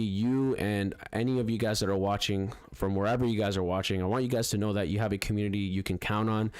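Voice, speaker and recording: Chatty, low-voiced trading streamer. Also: male voice, darkish tone, smooth, no room sound. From Spanish-speaking background. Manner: chatty, repeats "guys" and "right?", frequent fillers and restarts. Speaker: forex trading livestream host. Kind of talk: casual live-stream commentary over charts.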